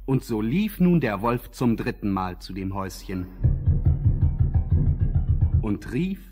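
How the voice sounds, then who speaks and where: A man narrating a scripted fairy tale as a calm, measured storyteller. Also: fairly low pitch, over loud music.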